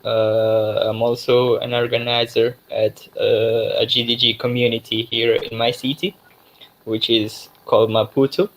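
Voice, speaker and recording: A man's voice: medium pitch, measured pace, slight background noise, poor audio. Mozambican man giving a casual tech talk. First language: Portuguese. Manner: relaxed, many fillers and restarts. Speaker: guest speaker, developer expert. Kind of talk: online tech talk presentation.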